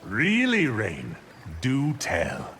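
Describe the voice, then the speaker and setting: A man playing an arrogant, scheming evil sorcerer in a video game, with haughty, theatrical, deliberate speech. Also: lowish voice, huge pitch swings, a bit bright, slight background noise, exaggerated voice. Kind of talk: video game villain acting. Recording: long hall reverb, music behind.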